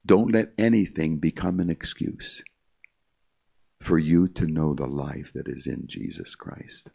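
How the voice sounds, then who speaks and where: A man's voice: a bass voice, animated, measured pace, gravelly, warm tone. An older man giving an emphatic, repetitive testimony speech to a live audience, calling his listeners "my friend.". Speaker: concert pianist giving testimony.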